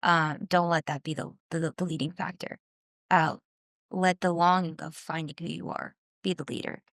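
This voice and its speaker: A woman's voice: low-pitched, steady tone, moderate pace, a bit bright, no room sound, studio quality. A woman in her twenties from Southern California, speaking as a guest on a casual podcast interview, earnest and reflective, often trailing off and restarting. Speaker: guest musician.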